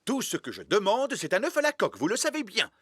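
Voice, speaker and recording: A man's voice: sits high, huge pitch swings, fast, a bit bright, slightly rough, no echo, studio quality, heavily acted. A man voicing a grumpy, demanding fairy king in a cartoon, speaking in an imperious, impatient way.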